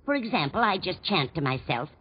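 A woman's voice: on the low side, sing-song, a little fast, breathy edge, full character voice. An actress playing a sweet, absent-minded older landlady in a 1940s radio comedy, chatty, fond and dithering. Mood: pleased, chanting. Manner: dithering, trails off mid-thought. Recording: dull noisy 1940s radio recording, studio audience. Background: studio audience.

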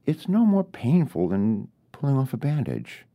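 A man reading his own short story as literary narration, deliberate and with dramatic pauses. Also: mid-range voice, huge pitch swings, highs cut off.

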